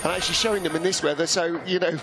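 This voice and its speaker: A man's voice: a little high, fairly even intonation, very fast, gravelly, over loud music. A man with a Welsh accent doing live sports commentary, chatty and anecdotal, laughing easily. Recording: commentary over loud outdoor track noise.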